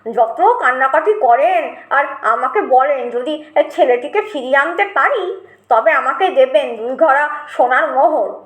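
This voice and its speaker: A woman voicing a mysterious old male servant, speaking slowly and coaxingly in an audio story. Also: sits high, expressive, echoey room. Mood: solemn, pleading. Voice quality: heavy, weighty voice.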